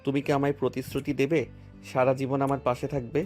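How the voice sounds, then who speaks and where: A man voicing a devoted, earnest young lover with a tender, even delivery in a romantic audio drama. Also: medium pitch, fast, faint music behind, natural voice. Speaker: voice actor.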